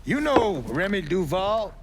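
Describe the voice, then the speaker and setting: An actor playing a weary, plain-spoken older American mob insider in a cinematic video game. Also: male voice, high voice, very animated, measured pace, noisy background. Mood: matter-of-fact. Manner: plain-spoken, colloquial, swearing.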